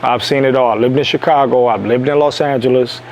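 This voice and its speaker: A man from Detroit lectures his viewers in a self-help monologue, repeating key lines to drive them home. His voice sits at a middle pitch. A faint hum of background noise runs under it. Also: steady tone, brisk, darkish tone, rough recording. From Detroit, USA. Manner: emphatic, repeats lines for stress. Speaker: self-help video host. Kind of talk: preachy motivational monologue.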